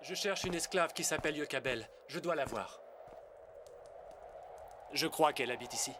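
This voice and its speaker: A man's voice: on the high side, moderate pace, background noise, close to an everyday voice. A young man playing a kind, polite, curious prince in a French cartoon dub.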